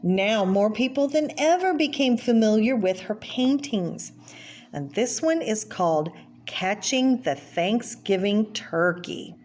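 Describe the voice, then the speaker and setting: A woman's voice: mid-range voice, expressive, a little mellow. A woman reading a children's picture book aloud, adding informal explanatory asides about the pictures. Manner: explanatory, pausing to name and comment on pictures. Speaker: read-aloud presenter for children.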